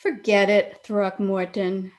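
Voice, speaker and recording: A woman's voice: medium pitch, little pitch movement, takes it slowly, natural voice. A woman playing a wry, proud sweetheart in an old-time radio comedy, with a dry, pointed delivery. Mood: wry, hurt. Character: wry, proud woman being jilted, quietly cutting.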